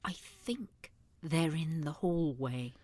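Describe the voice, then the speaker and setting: A woman's voice: low-pitched, slow pace, mellow. A woman playing a feisty, wry old woman with a rebellious streak in an audio drama. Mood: alarmed. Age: older.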